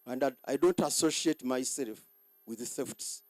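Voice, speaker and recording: Hoarse, boastful man. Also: mid-range voice, darkish tone, no room sound. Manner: boastful, halting with repeated words. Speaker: general manager giving a handover speech. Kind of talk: ceremony speech.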